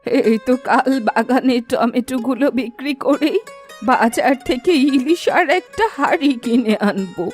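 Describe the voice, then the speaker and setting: A woman's voice: high-pitched, a little slow. A woman voicing a querulous, plain-spoken old village granny in a cartoon folk tale. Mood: musing. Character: grumbling old village granny living alone, toothless and stubborn. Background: background music.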